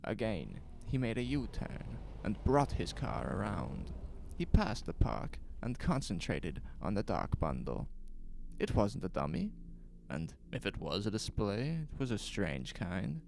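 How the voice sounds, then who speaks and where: Male narrator for horror fiction: unhurried, creaky voice, measured and suspenseful, faint noise and ambience behind. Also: on the low side, sing-song, darkish tone. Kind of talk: scripted horror story narration.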